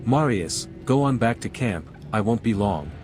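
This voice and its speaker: Man acting a low, composed adventurer. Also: lively intonation, normal speed, music in the background, lightly acted. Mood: calm, supportive. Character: calm, level-headed male adventurer leading a party. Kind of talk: video game voice acting.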